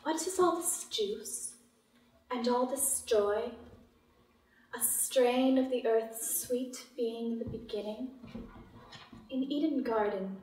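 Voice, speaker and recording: A woman reads poetry aloud extremely slowly, in short, deliberate phrases. She is some way from the mic in an echoey room. Her voice sits at a medium pitch. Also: a little mellow. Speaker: poetry reader. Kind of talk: slow poetry recitation. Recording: echoey room, distant mic, faint noise.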